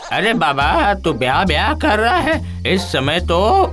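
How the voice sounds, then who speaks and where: A man's voice: high voice, wildly swooping pitch, slow pace, a bit bright, faint music behind, full character voice. A man playing a wily, greedy old village father in a comedy cartoon, cajoling and exclaiming theatrically. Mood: scolding, evasive. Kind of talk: animated comedy cartoon acting.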